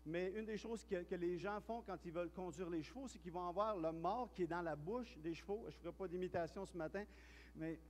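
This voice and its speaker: A man with a Quebec French accent preaches in a church hall, his voice high, and long reverb trails each phrase. He talks in a relaxed, storytelling way, stumbling and repeating words as he goes. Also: brisk. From Quebec, Canada. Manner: chatty, storytelling, with frequent restarts and repeated words. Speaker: pastor. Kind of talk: sermon.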